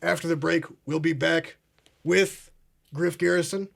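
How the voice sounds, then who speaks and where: A man's voice: fairly high pitch, steady tone, measured pace, creaky, full character voice. An actor playing a pompous, paranoid male conspiracy talk show host who speaks in a grandiose, ominous way. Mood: grave. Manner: grandiose, ominous, addresses "ladies and gentlemen". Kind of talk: comedic mock talk show.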